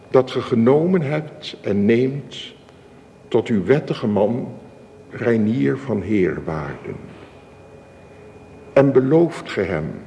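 Solemn, slow-speaking minister in a reverberant hall. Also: male voice, mid-range voice, dark tone, exaggerated voice. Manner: formal, measured, ceremonious. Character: solemn male church minister conducting a wedding. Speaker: minister officiating a wedding. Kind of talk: solemn radio drama wedding liturgy. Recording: reverberant church-like hall, clear hiss.

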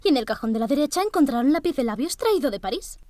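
A woman's voice: sits high, big pitch swings, very fast, dark tone, slight background noise. A haughty bossy lady of the house. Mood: commanding. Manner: imperious and scolding. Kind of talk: radio drama acting.

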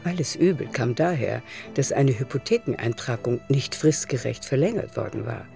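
A woman narrates a literary radio play. Her voice is mellow and relaxed with a gravelly creak, over faint music. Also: extremely low pitch, normal speed.

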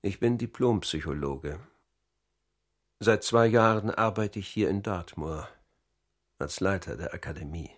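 An actor playing a middle-aged psychologist and academy director, giving a measured, steady account in a radio crime drama. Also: male voice, sits low. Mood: matter-of-fact. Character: Dutch academy director, calm psychologist under interrogation.

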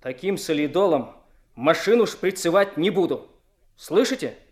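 An actor playing an earnest, proud young truck driver in a radio play, speaking plainly and deliberately with emphasis. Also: male voice, a little high, expressive, husky. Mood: defiant. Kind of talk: 1970s Soviet radio play acting.

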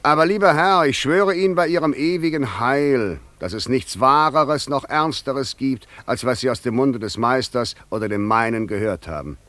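An actor playing a sly, servile secretary with insinuating, ironic politeness in a German radio play. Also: male voice, mid-range voice, normal speed, hardly any pauses, fairly bright tone, no room sound, natural voice. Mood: mock-earnest.

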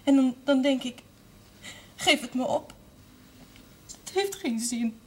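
Earnest, troubled young woman, high-voiced. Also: lively intonation, slow pace. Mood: despairing. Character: earnest young former novice, burdened daughter managing family business. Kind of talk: television period drama acting.

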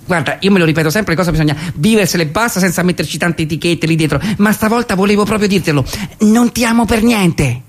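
A woman's voice: lowish voice, lightly acted. Giddy, stammering woman in love, played by an actress. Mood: moved, delighted. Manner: halting, stammering, gushing. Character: giddy, bashful woman in love, phoning from work. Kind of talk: radio theatre comic dialogue.

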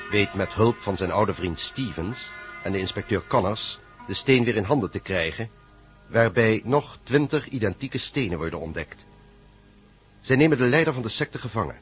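A man giving a measured, serious scripted narration for a Dutch radio play. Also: fairly low pitch, fairly bright tone. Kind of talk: scripted radio drama narration, story recap.

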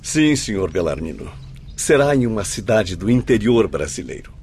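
A man's voice: on the low side, big pitch swings, moderate pace, a little mellow, slight background noise. A Brazilian man acting in a radio drama as an earnest young spirit messenger with a formal manner. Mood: polite.

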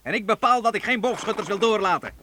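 An arrogant feudal lord, extremely high in pitch with a sharp, forceful edge. He hands down emphatic, menacing commands in a radio drama. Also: expressive, fast, a little hiss or hum. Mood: imperious, threatening. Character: haughty threatening feudal knight, lord of his land. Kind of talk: radio play acting.

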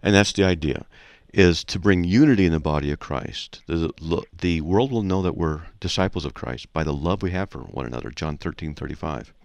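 Deep gravelly man hosting a radio show. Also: animated, brisk, few pauses, a little mellow, no echo. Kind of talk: casual conversational teaching and anecdotes.

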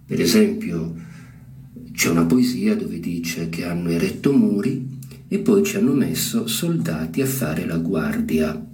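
A man giving informal literary commentary in a reflective, didactic way, with deliberate emphasis. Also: sits low, a little mellow.

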